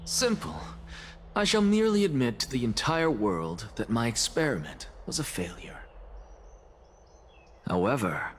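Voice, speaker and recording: An actor playing a confident, scholarly alchemist in a video game, lecturing in a grand, self-assured way. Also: male voice, mid-range voice, sing-song, measured pace, pauses often, slight background noise. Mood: unshaken, proud. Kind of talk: scripted dramatic game dialogue.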